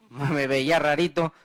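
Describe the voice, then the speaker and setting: A man's voice: a little high, steady tone, takes it slowly. A man in the audience, chatting and telling a story about himself. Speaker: audience member sharing an anecdote.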